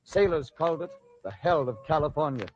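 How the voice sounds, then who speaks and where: A man narrates a radio drama from a script. His voice sits a little high, and the old recording is dull with faint noise behind it. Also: lively intonation, a little fast, a little mellow.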